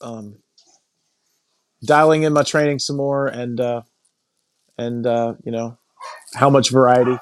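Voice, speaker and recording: A middle-aged man talking casually and reflectively as a podcast guest, thinking aloud in a halting way and repeating phrases. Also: mid-range voice, steady tone. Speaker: podcast guest, coaching client. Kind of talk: casual reflective interview talk.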